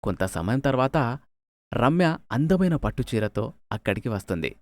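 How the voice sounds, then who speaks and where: A man's voice: mid-range voice, sing-song, normal speed, dry recording. A man narrating a scripted moral story as a storyteller, recorded close on the mic. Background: music.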